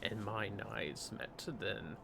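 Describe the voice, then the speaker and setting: A man's voice: lowish voice, slow pace, creaky, background noise. A man voicing a solemn, aging retired knight, reading fantasy game dialogue in grave, formal, archaic phrasing. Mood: confessional, grave.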